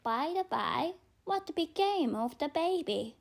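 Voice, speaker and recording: A woman with a non-native English accent voicing a mad, playful talking cat, teasing and deliberate, in an audiobook reading. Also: sits high, very animated, vocal fry, pitched above the performer's own voice, full character voice. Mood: curious, breezy.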